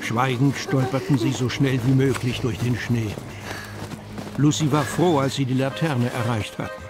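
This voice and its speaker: A man's voice: medium pitch, steady tone, normal speed, lightly acted. A man narrating a German children's radio play in a scripted storybook style. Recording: music behind the voice.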